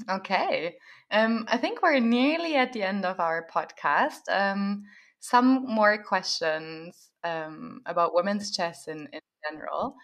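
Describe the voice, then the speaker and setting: A woman's voice: medium pitch, takes it slowly, a bit bright. A woman from Germany, hosting a podcast and asking long, chatty questions. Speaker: podcast host. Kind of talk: podcast interview.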